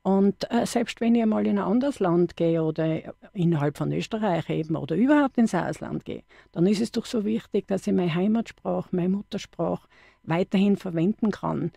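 A middle-aged Austrian woman with a gravelly edge and a dark, mellow tone. She chats freely with little restarts. The sound is close and dry. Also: fairly low pitch, normal speed, no echo. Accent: Austrian German. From Upper Austria. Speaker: studio guest, dialect poet. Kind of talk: radio interview.

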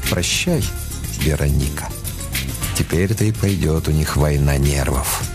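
A man narrating a radio play in a scripted, literary, expressive storytelling style. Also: extremely low pitch, unhurried. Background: music.

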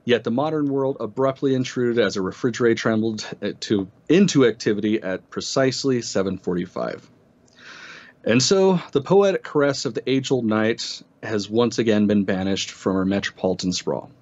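A man's voice: mid-range voice, expressive, moderate pace, darkish tone. Dull-sounding recording of a man reading aloud. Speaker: co-host reading an essay aloud. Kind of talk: literary essay reading with casual asides. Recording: slightly dull, band-limited audio.

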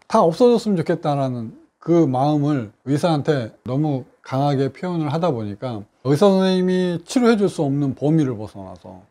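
A middle-aged man, a clinic doctor, calmly explaining a patient's treatment case in his office. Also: a little high. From South Korea. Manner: measured, explanatory, with frequent fillers.

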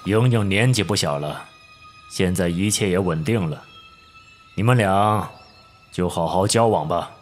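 A man acting a commanding older gang boss in an audio drama, measured, calm and quietly menacing. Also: low voice, soft background music. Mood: probing, authoritative. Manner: measured, authoritative.

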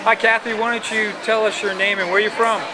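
A man's voice: high-pitched, little pitch movement, a little fast, poor audio. A man cheerfully interviewing diners in a busy restaurant, recorded on a handheld phone. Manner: cheerful, friendly, repeats thanks. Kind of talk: upbeat customer interviews. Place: busy restaurant dining room. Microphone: handheld camera phone. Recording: loud diner crowd chatter.

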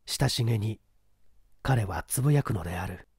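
Lively man reading a mystery novel aloud. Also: on the low side, normal speed, husky, dry recording, studio quality. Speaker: audiobook narrator.

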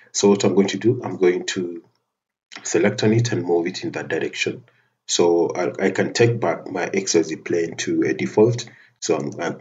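A man giving a methodical, step-by-step software tutorial over a screen recording, often restarting his phrases. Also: deep voice, lo-fi sound. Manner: methodical step-by-step narration with frequent restarts and fillers.

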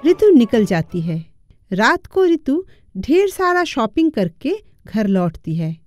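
A woman's voice: on the high side, very animated, slow pace, a little mellow, dry recording, studio quality, faint music behind. A woman giving scripted narration for a cartoon moral story.

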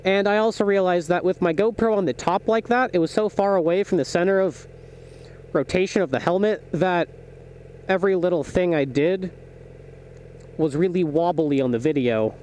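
A man's voice: high voice, normal speed. A young man rambling casually to viewers, thinking aloud and correcting himself, on a helmet microphone as he rides a motorcycle. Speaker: motovlogger. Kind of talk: casual vlog chat while riding. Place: inside a helmet, riding a motorcycle outdoors. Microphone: small microphone inside the helmet. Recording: loud engine, wind and road noise.

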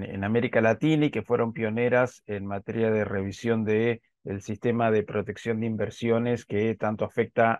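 An Argentine man in his forties speaks through a headset on a video call, calm and measured, often pausing on fillers. The sound is dry and a little dull, with no room echo. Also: fairly low pitch. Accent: Argentine Spanish. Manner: measured, with frequent filler "este". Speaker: invited speaker, lawyer. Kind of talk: presentation at an online panel. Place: home office with bookshelves.

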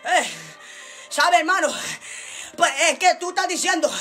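Very high-pitched woman preaching fervently. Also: measured pace, soft background music. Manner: emphatic, repetitive, declamatory; impassioned, often rising to shouting. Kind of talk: fervent Pentecostal-style sermon.

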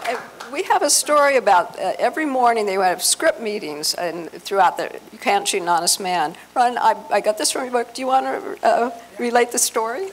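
A woman giving an informal presentation, in a fairly high voice. She is recorded from across a conference room with some noise around her. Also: brisk, few pauses. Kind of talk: informal talk to an audience. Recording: noisy convention room from far off.

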